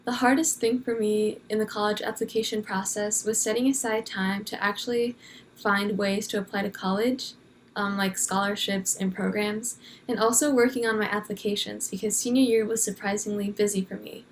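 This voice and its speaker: A woman's voice: medium pitch, steady tone, moderate pace, a little hiss or hum. A young woman student speaking plainly and conversationally. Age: young adult. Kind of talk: casual personal account.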